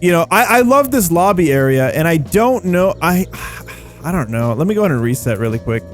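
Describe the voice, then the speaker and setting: Excitable higher-voiced man doing game commentary. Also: brisk. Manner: excitable, exclaiming, slangy. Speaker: video game YouTuber and host. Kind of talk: casual gameplay commentary. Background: background music.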